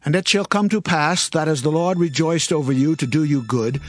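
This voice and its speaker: A man playing Moses, a solemn prophet and leader addressing his people in a deliberate, declamatory scripture drama. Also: on the high side, close to an everyday voice. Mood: grave warning. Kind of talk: dramatized scripture reading in King James English. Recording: music playing behind the voice.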